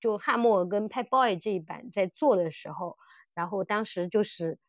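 A woman co-hosting a casual podcast chat, telling stories in an animated way and often repeating her phrases. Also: medium pitch, normal speed, no room sound, dull audio. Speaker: podcast co-host. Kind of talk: casual chat about audio dramas.

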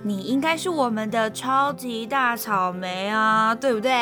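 A woman's voice: fairly high pitch, lively intonation, takes it slowly, over music. A woman acting a cute, teasing but caring young housemate in a radio drama.

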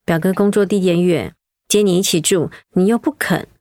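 A woman's voice: fairly low pitch, moderate pace, darkish tone, dry recording, clean studio sound, close to an everyday voice. An actress playing a kind, patient middle-aged mother who tells her daughter a family story and looks after an elderly aunt. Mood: caring. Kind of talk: radio drama acting.

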